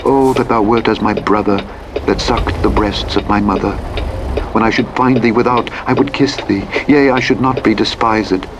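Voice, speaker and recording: A man narrating the Bible in a measured, solemn scripted reading. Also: lowish voice, steady tone. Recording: clear vehicle and road noise throughout. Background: truck engine and road sounds from a driving game.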